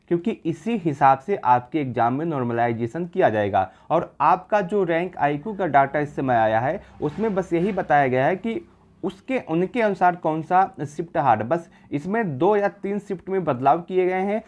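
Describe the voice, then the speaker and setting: A man's voice: a little high, animated, moderate pace, darkish tone. Man explaining exam data. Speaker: exam-prep video presenter. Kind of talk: informal explanatory data commentary.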